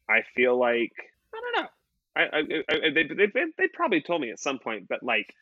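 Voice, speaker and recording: A man in his late twenties with a bright, forward voice, talking loosely and circling back on himself with lots of "like" and restarts. The sound is close and dry, a little dull in the highs. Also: dry recording. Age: late 20s. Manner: rambling with many restarts and fillers, swears casually. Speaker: podcast co-host. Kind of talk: casual chat.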